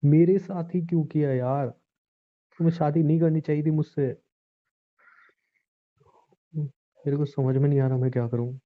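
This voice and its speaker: Over a muffled, phone-like line comes a man acting as a distraught husband in an audio drama. He is brooding and bitter, repeating himself as he pleads and threatens, and his pitch stays fairly flat. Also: normal speed, long pauses, lightly acted. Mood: anguished. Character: distraught, menacing husband, bitter and self-pitying.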